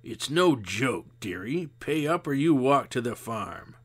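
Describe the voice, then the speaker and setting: A man's voice: on the high side, very animated, measured pace, creaky, a bit bright, voice pushed up, heavily acted. A man voicing a big, nasty older woman shopkeeper who snaps and sneers. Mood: sneering. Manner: sneering, snappish.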